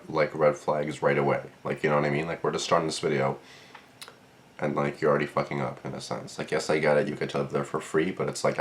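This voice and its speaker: Reaction host, young man, sick and sniffly, deep voice, rambling and sarcastic. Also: slight background noise. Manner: rambling, filler-heavy, sarcastic asides. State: sniffly with a cold. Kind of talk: casual reaction commentary.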